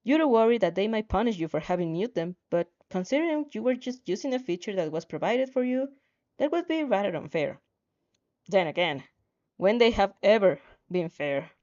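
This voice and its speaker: A woman reads second-person fan fiction with expression. Her tone is soft and mellow, her delivery informal with the odd stumble. The recording is a touch dull. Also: mid-range voice, lively intonation, moderate pace. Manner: informal, stumbles over lines.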